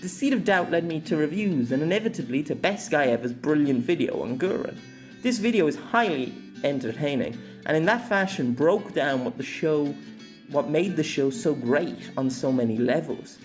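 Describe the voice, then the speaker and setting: Lively, expressive essay narrator over music. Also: mid-range voice. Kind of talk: scripted audio essay narration.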